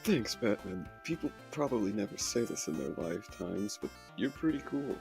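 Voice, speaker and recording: A man voicing a young fox in training, casual and cheeky, with a raspy voice, in an amateur comic dub. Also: on the low side, sing-song, normal speed, darkish tone. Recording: music behind the voice.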